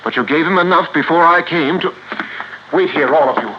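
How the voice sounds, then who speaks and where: An actor playing a stern, precise doctor in a 1950s radio crime drama, speaking formally and emphatically. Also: male voice, fairly high pitch, a little fast, close to an everyday voice. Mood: alarmed, stern. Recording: muffled, noisy old radio recording.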